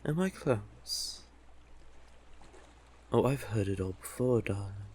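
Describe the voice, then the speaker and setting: A man's voice: lowish voice, breathy edge, exaggerated voice. A man voicing a monstrous but civil male sea witch in an intimate roleplay audio drama, soothing, teasing and courtly, lingering on endearments. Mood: wry, civil.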